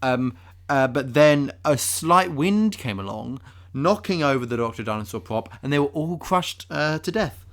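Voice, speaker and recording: A man's voice: mid-range voice, lively intonation, moderate pace, a bit bright. A man playing an earnest podcast host who hesitantly and blithely excuses film disasters in mock-serious banter.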